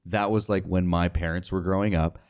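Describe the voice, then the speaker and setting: A man's voice: deep voice, level tone, fairly quick, darkish tone, clear, resonant tone. A young man talking casually as an interview guest, informal and rambling, often saying "like". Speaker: podcast guest, radio sales associate.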